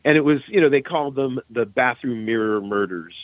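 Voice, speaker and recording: A man with a medium-pitched voice chats casually as a podcast guest. His audio is narrow and phone-like, as if on a remote call. There is almost no room sound around him. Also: a little fast, dry recording. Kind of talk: casual chat.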